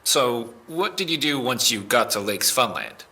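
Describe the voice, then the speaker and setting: A man's voice: mid-range voice, big pitch swings, a little slow, pushed and bright, full character voice. A man playing a skeptical police interrogator who asks pointed, clipped questions in an audio drama. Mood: probing. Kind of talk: naturalistic audio drama acting.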